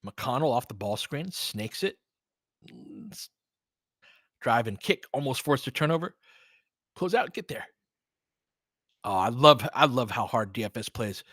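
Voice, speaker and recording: A man delivers live basketball commentary in a medium-pitched voice. His calls come in short, clipped phrases mixed with informal, fan-like remarks. The sound is dry, with no room echo. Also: lively intonation, a little slow, long pauses, fairly bright tone. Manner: clipped running phrases with casual fan-style asides. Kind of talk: live audio play-by-play commentary.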